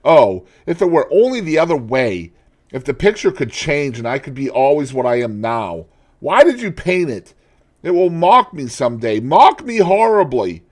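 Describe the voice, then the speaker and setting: Man doing a vain young man, high strained voice, lively pitch, impassioned, storybook reading. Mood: despairing. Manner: impassioned, pleading, high and drawn-out. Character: anguished vain young man, beautiful youth.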